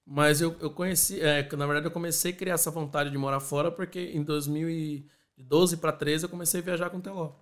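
Higher-pitched Brazilian man, slangy storyteller. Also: fairly even intonation, a little fast, hardly any pauses, a bit bright. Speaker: musician guest. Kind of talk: casual storytelling in an interview.

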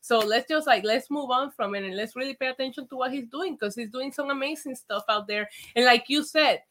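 A woman from Puerto Rico co-hosting a casual wrestling review chat, animated and chatty with lots of "like". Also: sits high, a little fast. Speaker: wrestling show co-host.

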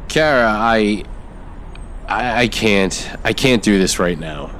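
A man's voice: lowish voice, expressive, slow, a bit bright, slight background noise. A man playing a bitter, resentful young man who speaks in a volatile, explosive way. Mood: strained.

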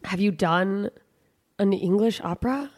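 A woman's voice: medium pitch, unhurried. A woman hosting a podcast, joking and swearing freely in casual chat. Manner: joking, profane, animated. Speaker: podcast host.

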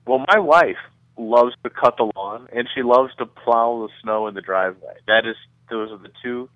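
A middle-aged man giving measured, conversational explanations as a guest in a radio interview, often repeating words as he goes. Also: mid-range voice, no echo, narrow, tinny audio. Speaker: guest researcher being interviewed.

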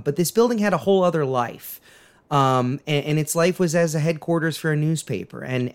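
A man hosting a history podcast in an earnest, deferential manner with halting phrasing. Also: medium pitch. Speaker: podcast host.

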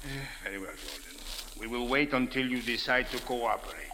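An actor playing an unflappable Cairo police captain, formal, measured and dryly ironic, in 1940s radio drama style. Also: male voice, mid-range voice, animated, a crowd in the background, lightly acted. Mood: stern, measured. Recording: old radio recording, long reverb, noisy.

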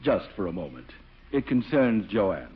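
A man's voice: fairly low pitch, big pitch swings. An actor playing a courtly, sanctimonious older clergyman, formal and slightly absent-minded, in a 1940s radio comedy. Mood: solemn, courteous. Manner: formal, scripture-quoting, measured. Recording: muffled 1940s radio broadcast.

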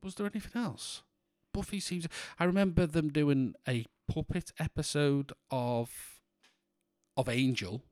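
A man from in England co-hosting a podcast in casual chat, with a monotone voice and a rambling way of talking full of restarts. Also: a little high, a little slow, no room sound, studio quality. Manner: rambling, many restarts and fillers. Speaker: podcast co-host.

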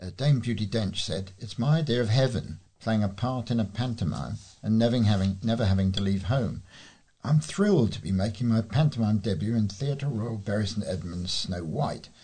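Male volunteer newsreader, rather low and husky, reading local stories from a script. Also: expressive, moderate pace, dark tone. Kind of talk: reading local news aloud.

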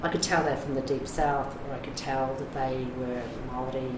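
Middle-aged New woman, very deep voice, frank and rambling, echoey room with noise. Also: fairly even intonation, moderate pace. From New Zealand. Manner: frank, rambling, many fillers and restarts. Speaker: addiction recovery navigator. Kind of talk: candid interview talk.

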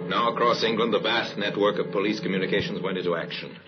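A man acting as the solemn narrator of an old radio crime drama, grave and measured in his storytelling. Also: on the low side, over loud music, lightly acted. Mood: grave, suspenseful. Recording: muffled old radio recording with hiss.

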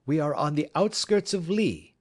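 A reserved gentleman detective speaks in measured, precise sentences, voiced by a man reading the story aloud. His voice sits a little above the narrator's and rises and falls with lively intonation. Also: on the high side, pitched above the performer's own voice. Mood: explaining. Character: calm, reserved gentleman detective, middle-aged.

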